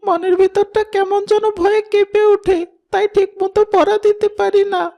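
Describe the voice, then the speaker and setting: A woman's voice: very high-pitched, flat delivery, measured pace, gravelly, muffled recording, full character voice. A woman voicing a timid, sad schoolboy who struggles with studies, meek and earnest, in a moral cartoon for children. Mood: anxious.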